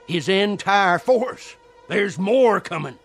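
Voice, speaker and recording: A man's voice: sits high, expressive, takes it slowly, fairly bright tone, soft background music, exaggerated voice. An actor playing a fervent old Southern conjure man in a radio play, with a folksy, theatrical rustic way of talking. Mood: fierce, boastful. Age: older. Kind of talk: dramatic radio play acting.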